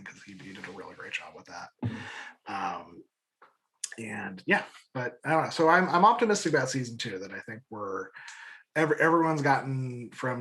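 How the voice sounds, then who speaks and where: A man in a casual interview, talking as a creative guest. He rambles in a halting way, doubling back on words and restarting sentences. His delivery is informal and enthusiastic, at an average male pitch. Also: a bit bright, rough recording. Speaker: guest, audio drama creator.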